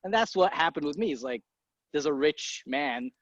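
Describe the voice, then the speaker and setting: A man in his thirties from Egypt talks in a blunt, easygoing way and swears casually as he answers. His voice sits a little high for a man. The recording is dry and a bit muffled. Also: expressive, dry recording. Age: 30s. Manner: blunt, swears casually, quick impulsive asides. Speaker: guest, entrepreneur. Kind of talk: casual interview talk. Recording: dry muffled podcast audio.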